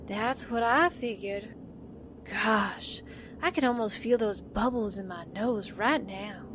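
A woman's voice: mid-range voice, slow, background noise, lightly acted. A woman playing a breezy, slangy, wistful young newspaper sidekick in a 1940s-style radio drama. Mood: wowed, eager.

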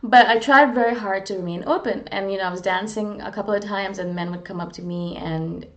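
A woman's voice: mid-range voice, a little fast, dull audio. A woman from India, talking in a candid, earnest, self-deprecating way in a casual, reflective monologue as a vlogger. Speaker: vlogger on personality types.

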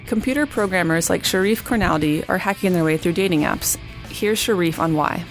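A woman hosting a magazine podcast, reading measured scripted narration. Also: on the low side, music in the background, rough recording. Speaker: podcast host, magazine editor.

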